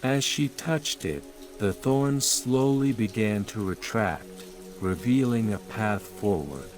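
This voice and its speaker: Guy doing a sleepy-time story, really slow and soothing, lowish voice, rain and soft music behind. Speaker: bedtime story narrator. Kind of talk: slow scripted bedtime story narration. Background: rain and calm music.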